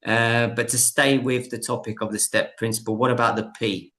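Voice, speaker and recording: A podcast host on a video call, asking questions in a hesitant way with fillers and self-corrections. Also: male voice, medium pitch, level tone, normal speed, fairly bright tone. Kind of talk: casual interview chat.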